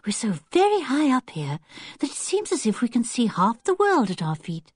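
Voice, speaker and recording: A woman's voice: fairly high pitch, huge pitch swings, normal speed, breathy edge, no room sound, clean studio sound, pitched above the performer's own voice, heavily acted. A woman voicing a happy, helpful young girl full of wonder in a children's audiobook, sweet and contented, with a British accent. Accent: British English. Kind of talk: children's audiobook character acting.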